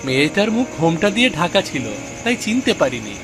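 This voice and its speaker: A man acting as an earnest young man in a cartoon drama. His voice is quite high and swings expressively in pitch, with music clearly playing behind. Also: big pitch swings, fairly quick, poor audio. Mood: casual, reassuring. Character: earnest young village son back from the city. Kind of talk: animated cartoon story dialogue.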